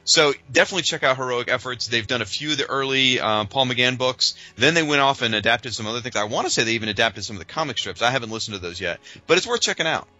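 Man, podcast co-host, very sharp bright tone, informal opinionated chat, average pitch, music underneath. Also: lively intonation, fast, few pauses, muffled recording. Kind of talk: casual discussion with loose summaries from notes.

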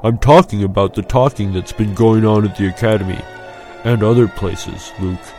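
A man's voice: on the low side, moderate pace, mellow, faint music behind, close to an everyday voice. A man voicing an easygoing, smug young male pilot, knowing and emphatic, talking to a friend in an audiobook. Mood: solemn, confiding.

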